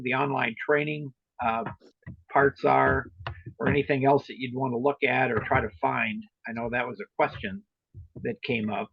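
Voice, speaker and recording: A man's voice: medium pitch, creaky, husky. A man leading an informal meeting, explaining things in a hesitant, rambling way. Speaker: meeting leader and trainer of volunteer club officers. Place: online video meeting. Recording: roomy, muffled online meeting audio.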